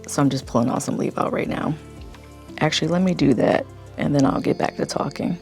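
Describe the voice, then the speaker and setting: Woman with a deep, creaky morning voice. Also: fairly quick, soft background music. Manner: speaking low to stay quiet. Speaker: lifestyle YouTube vlogger. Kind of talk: casual chit-chat vlog.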